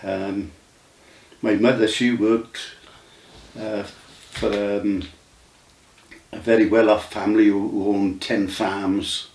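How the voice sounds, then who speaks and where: A man, recalling his memories in a casual, halting way with many restarts. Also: fairly low pitch, little pitch movement, a little mellow. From Wales. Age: 90s. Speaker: interview guest. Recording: roomy sound from a distant mic.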